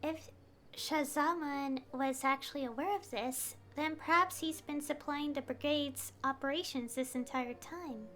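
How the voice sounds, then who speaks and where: A woman's voice: high voice, unhurried, a bit bright. A woman game streamer reading dialogue aloud and commenting on a live stream. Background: faint game music.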